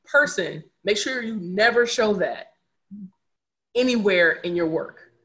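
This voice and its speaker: A woman's voice: medium pitch, animated, unhurried, fairly bright tone. A young adult woman speaking candidly as a podcast guest, earnest and reflective, repeating words for emphasis. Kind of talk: candid conversational storytelling.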